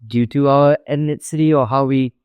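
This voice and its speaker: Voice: medium pitch, fairly even intonation, normal speed, clean tone, dry recording, highs cut off. A young adult podcast host with a Malaysian accent, chatting casually and peppering the talk with "like". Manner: chatty, frequent 'like'. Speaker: podcast host and international student.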